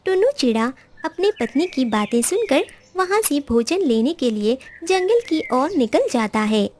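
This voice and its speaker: A woman reads scripted narration for a children's story. Her voice is fairly high, with lively pitch movement. Faint noise runs through the recording. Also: unhurried.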